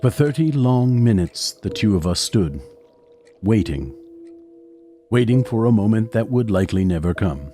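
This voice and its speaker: An actor playing a somber narrator who recounts his past in a measured, literary horror audio drama. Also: fairly low pitch, sing-song, dark tone. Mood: grave, reflective. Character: somber male narrator recalling his gruesome experiments. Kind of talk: scripted first-person horror narration. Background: music.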